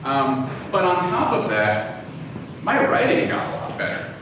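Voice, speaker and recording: A man giving an informal, conversational lecture, often halting and backtracking to rephrase as he tells personal anecdotes. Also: medium pitch, sing-song. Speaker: lecturer, audio drama writer and producer. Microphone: distant from the speaker. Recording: very echoey room, distant mic, clearly noisy.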